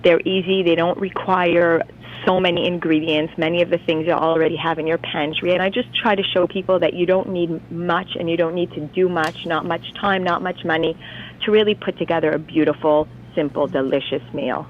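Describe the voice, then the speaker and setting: A woman from Canada, a cookbook author, talking brightly on a phone line into a radio interview, often starting with "you know what". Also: on the low side, steady tone, brisk, slight background noise. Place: on the phone from home city.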